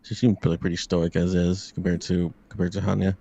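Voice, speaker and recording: A man's voice: low-pitched, little pitch movement, fairly quick, creaky, dark tone. A man chatting casually as a guest, often repeating words as he thinks, on slightly lo-fi audio. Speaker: guest giving reactions and rankings. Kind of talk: casual chat.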